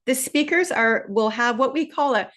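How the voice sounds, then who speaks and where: A woman from Canada with an Ontario accent, teaching an online test-prep webinar in a friendly, explanatory way and slowing down to stress key points. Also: high-pitched, a bit bright.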